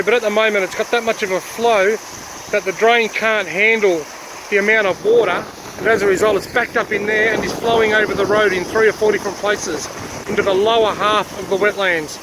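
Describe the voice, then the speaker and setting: Hoarse, high-voiced Australian man touring outdoors. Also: a bit bright, slight background noise, rough recording. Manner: chatty and plain-spoken, pointing things out as he goes. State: slightly hoarse from a bug. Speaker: outdoor vlogger giving a tour. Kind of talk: casual walking-tour narration. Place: outdoors at wetlands by a creek and car park.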